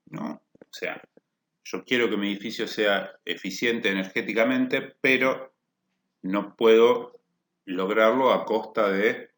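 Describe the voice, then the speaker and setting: A man's voice: on the low side, a bit bright. Lecturing man in an echoey room. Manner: explanatory, rephrases points with "o sea" and "¿no?". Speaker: energy efficiency lecturer. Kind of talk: technical workshop lecture.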